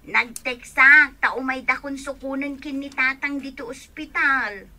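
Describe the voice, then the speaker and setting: Piercing, impatient grown daughter. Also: female voice, high-pitched, measured pace, sharp tone, exaggerated voice. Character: impatient grown daughter telephoning her elderly parents. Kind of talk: radio drama acting in rural family comedy.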